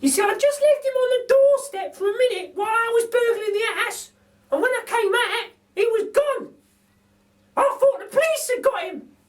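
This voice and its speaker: A man voicing a chatty, excitable working-class lady burglar with exclaiming, colloquial speech in a children's storybook. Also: very high-pitched, moderate pace, voice pushed up. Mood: tearful. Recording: distant microphone.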